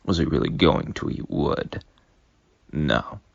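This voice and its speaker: A man's voice: rumbling low, expressive, slow, vocal fry, breathy edge, no echo, lo-fi sound. A man reading his own fanfic aloud as dramatic storytelling, sometimes dropping into whispers. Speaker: fanfic reader.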